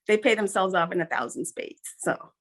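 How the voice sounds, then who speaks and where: A woman's voice: mid-range voice, lively intonation, normal speed. A middle-aged woman from Guyana, a guest on an interview podcast, talking in an earnest, upbeat way and repeating points for emphasis. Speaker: guest, business consultant.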